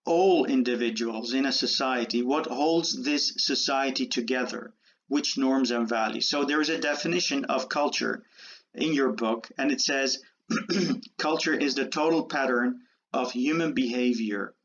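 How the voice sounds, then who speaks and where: A man born and raised in the Netherlands giving a university lecture, halting with many fillers and restarts. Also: mid-range voice, a bit bright. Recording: dull lo-fi lecture audio.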